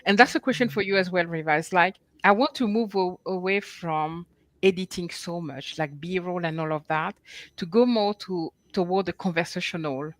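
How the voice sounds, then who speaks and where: A woman's voice: on the low side, normal speed, dry recording. A woman who travels while creating content, chatting casually on an online panel, self-deprecating and laughing easily. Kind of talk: casual online roundtable chat.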